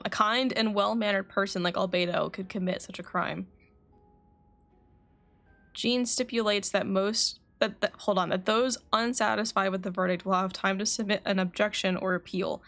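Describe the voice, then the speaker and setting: A woman's voice: medium pitch, a bit bright. A woman livestreaming a game, chatting casually and reading story text aloud, stumbling and correcting herself. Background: game music.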